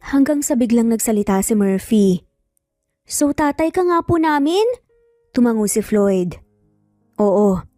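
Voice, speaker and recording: A woman narrates a scripted dramatic story in a fairly high, mellow voice. The recording is dry and close, with faint music underneath. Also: no room sound.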